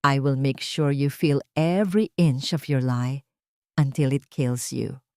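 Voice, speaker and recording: A woman's voice: extremely low pitch, steady tone, measured pace, warm tone, smooth, dry recording, clean studio sound, voice pushed down. A woman voicing a cruel, cold rich young woman in an audio drama, threatening and taunting. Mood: menacing, scornful.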